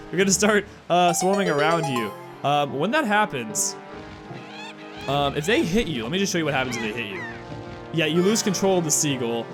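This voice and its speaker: A tired man with a slightly raspy voice and occasional cracks, chatting casually as he comments on a video game he plays. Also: on the high side. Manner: rambling, chatty, quick asides and chuckles. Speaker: let's play host. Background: game music.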